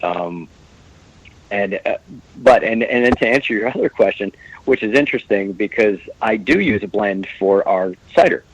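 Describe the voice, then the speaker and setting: A man's voice: on the low side, normal speed, rough recording. A man who owns a meadery, chatting casually as a guest on a podcast, joking and rambling with many restarts.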